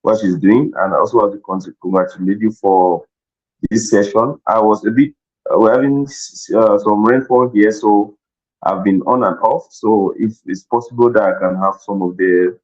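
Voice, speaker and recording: A man from Cameroon thanking the hosts at an online meetup, warm and grateful, stumbling over repeated words. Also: on the low side, steady tone, moderate pace. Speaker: meetup participant. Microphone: video call. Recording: dull lo-fi call audio.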